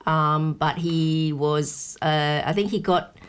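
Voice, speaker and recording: Woman, lowish voice, casual chat, explanatory, frequent restarts. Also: steady tone, takes it slowly, smooth. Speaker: guest discussing a film. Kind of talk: casual conversation.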